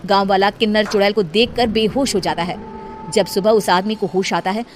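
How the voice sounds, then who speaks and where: A woman storyteller reading scripted narration for an animated tale. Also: medium pitch, moderate pace, slight background noise. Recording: music behind the voice.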